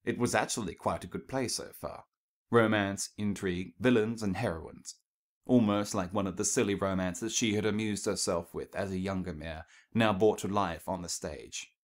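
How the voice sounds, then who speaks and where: A male narrator reads a piece of fiction. His voice sits on the low side. The delivery is scripted storytelling, sentence by sentence. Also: moderate pace.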